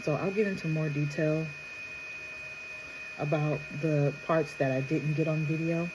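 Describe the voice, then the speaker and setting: A woman vlogger chatting casually through a makeup tutorial, soft-spoken and trying to project with a sore, strained throat. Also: sits low, fairly even intonation, a little slow, noisy background. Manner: chatty, addresses viewers directly, trails off and restarts. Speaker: beauty vlogger.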